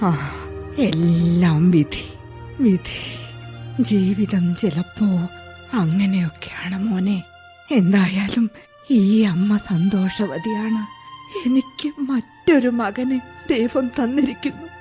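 A woman's voice: mid-range voice, steady tone, mellow, faint music behind, lightly acted. A woman playing a lonely, tearful old mother. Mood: tender. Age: older. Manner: slow, tearful, tender speech with long sighs. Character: lonely old widowed mother, tender and forgiving. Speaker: radio drama actress.

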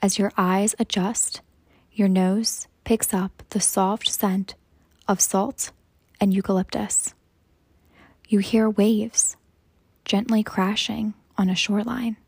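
Woman, guided meditation host, very slow pacing, even soothing tone, soft breathy voice, close dry sound. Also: mid-range voice, dry recording. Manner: hushed, soothing, measured delivery with long pauses.

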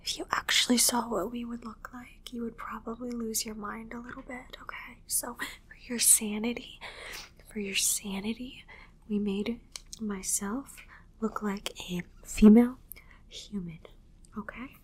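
A woman whispering an ASMR roleplay as a calm, reassuring alien medical examiner, repeating short reassurances in a hushed, soothing way. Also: fairly high pitch, measured pace, husky, poor audio.

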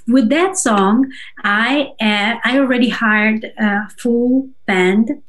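Halting woman. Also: a little high. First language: Russian and Georgian. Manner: halting, searching for words, checks with "right?". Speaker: interview guest, singer-songwriter. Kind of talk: casual conversational interview.

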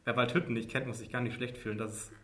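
A man in his early twenties talks in a mid-range voice on a casual podcast. His manner is dry and joking, even when he explains plans. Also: medium pitch, rapid-fire. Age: 20s. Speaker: podcast co-host.

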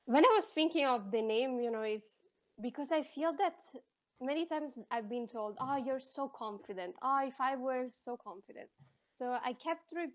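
An Italian-accented woman speaks as a podcast guest with a fairly high voice. She talks in a lively, expressive way, rushing in bursts and restarting sentences. Her first language is Italian. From Italy. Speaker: counselor and life coach. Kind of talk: casual interview.

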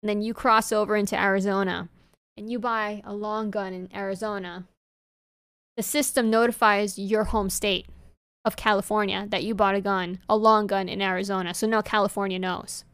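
A woman co-hosting a podcast in casual chat, English not her first language, talking in an animated, rambling way with many fillers. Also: mid-range voice, moderate pace, clean tone, no room sound. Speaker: podcast co-host.

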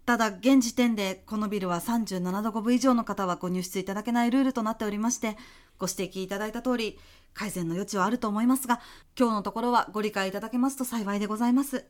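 A woman's voice: medium pitch, fast, lightly acted. A woman playing a very formal, apologetic, flustered office receptionist in a comedic radio drama. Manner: very formal, apologetic customer-service politeness. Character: polite, flustered female office building receptionist.

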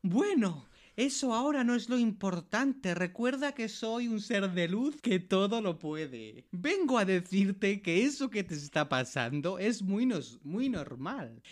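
A woman with an accent from Spain voicing a solemn, soothing being of light that speaks from a radio, with trailing echoes, in a comic radio play. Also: mid-range voice.

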